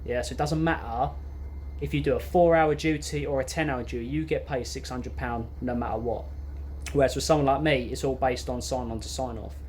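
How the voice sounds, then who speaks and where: A man's voice: medium pitch, a little mellow. Young man chatting over background noise. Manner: rambling, informal, matter-of-fact. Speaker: bus driver vlogger. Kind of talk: unscripted casual chat to camera. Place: inside an empty parked bus. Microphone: phone or camera at arm's length. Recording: clear ambient noise inside bus.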